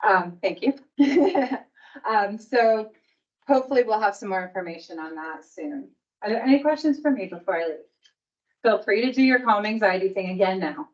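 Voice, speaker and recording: A woman's voice: medium pitch, lively intonation, moderate pace. Woman speaker, upbeat and encouraging, distant from the mic, dull lo-fi sound. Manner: upbeat and encouraging, laughs easily. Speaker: early learning coalition staff presenter. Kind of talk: presenting at a provider meeting. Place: meeting room.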